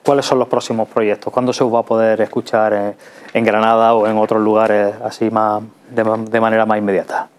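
A man's voice: lowish voice, flat delivery, brisk. A man in his thirties with a Spanish accent, conversationally interviewing as a TV host. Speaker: TV culture show host. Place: TV studio. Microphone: lapel mic.

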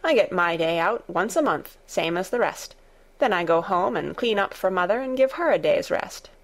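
A good-tempered young maid with a broad Yorkshire accent chatters in a homely, outspoken way, as a woman narrator puts her on. The voice carries a slight gravelly edge, and she sounds plain-spoken and warm-hearted in this storybook reading. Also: lowish voice, expressive, normal speed. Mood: stout.

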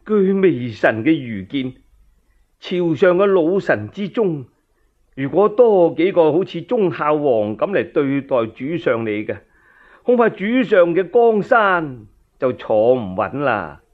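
A man puts on a sly male minister from a historical tale. He fawns and complains to his ruler in slow, obsequious phrases, with a very mobile pitch. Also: sing-song, phone-quality sound, full character voice. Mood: sly insinuation. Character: scheming, fawning male court minister, aggrieved.

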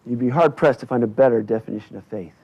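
A male pastor delivering a church sermon in deliberate, emphatic short phrases with repetition. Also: medium pitch, husky. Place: indoors in a church at a lectern. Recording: dull, slightly lo-fi church recording.

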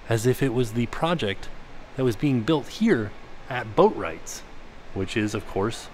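A man's voice: fairly low pitch, darkish tone, slight background noise. A man giving a casual, curious walking-tour commentary while filming on a handheld camera. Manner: chatty, curious, thinking aloud. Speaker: vlogger touring a resort. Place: indoor restaurant hall and outdoor resort grounds.

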